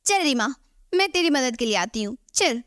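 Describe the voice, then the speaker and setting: महिला की आवाज़ में ऊँचे सुर की तुनकमिज़ाज बहू. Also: female voice, high voice, very animated, normal speed, a bit bright, no echo, studio quality. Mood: protective. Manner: indignant, dramatic. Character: bossy, quick-tempered young daughters-in-law of the household. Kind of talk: Hindi animated moral story voice acting.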